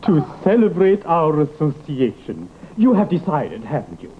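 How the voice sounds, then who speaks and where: An actor playing an unctuous, effusive gentleman investigator in an old radio drama, with drawn-out theatrical delivery. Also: fairly high pitch, wildly swooping pitch. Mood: gushing. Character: unctuous, effusive male rival psychic investigator. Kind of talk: old-time radio acting. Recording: tinny, noisy old radio recording.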